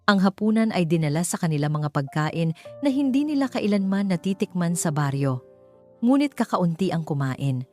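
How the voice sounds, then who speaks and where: A woman's voice: on the low side, lively intonation, normal speed, warm tone, faint music behind. A woman reading scripted, dramatic narration as a storyteller.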